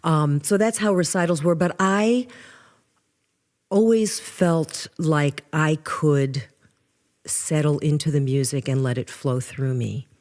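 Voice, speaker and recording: Older New woman with a low voice. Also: slow. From New York. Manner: animated storyteller, restarts often. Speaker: guest musician. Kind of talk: casual interview.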